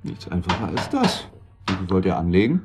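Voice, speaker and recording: A wry tax investigator in a radio crime drama. His voice sits low but moves animatedly. He is blunt, ironic and fond of a joke. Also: very animated, fairly quick, music in the background. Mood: mocking, amused. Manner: joking, ironic, colloquial. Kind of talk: naturalistic German radio crime drama acting.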